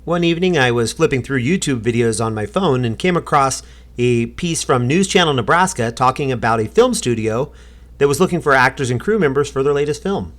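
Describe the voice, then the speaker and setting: A man's voice: mid-range voice, expressive, a little fast, a bit bright. A middle-aged American man hosting a vlog, talking in a casual narrating and chatting style. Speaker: vlog host.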